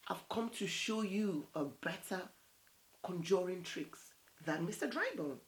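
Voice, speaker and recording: A woman as an eager, animated folktale suitor. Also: fairly low pitch, unhurried, rough recording. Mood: eager, asking. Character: poor, confident, eager male folktale suitor.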